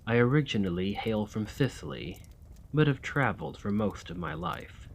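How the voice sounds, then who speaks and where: A man playing a centuries-old vampire gentleman in a scripted ASMR roleplay, weary and courteous, with formal, old-fashioned manners and a measured delivery. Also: on the low side, animated, a little hiss or hum. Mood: polite, regretful.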